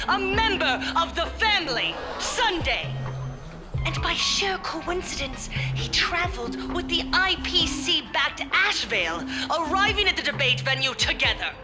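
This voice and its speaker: An actress playing a composed female politician in a video game, addressing the public in a formal, measured, oratorical style. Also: high-pitched. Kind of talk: formal televised speech, video game acting.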